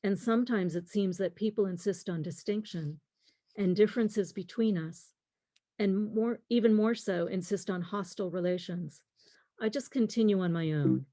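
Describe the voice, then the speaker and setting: A middle-aged woman lecturing on literature, reading in a measured, deliberate way with pauses. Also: medium pitch, warm tone, no room sound. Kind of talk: prepared lecture, reading quotes and poems aloud.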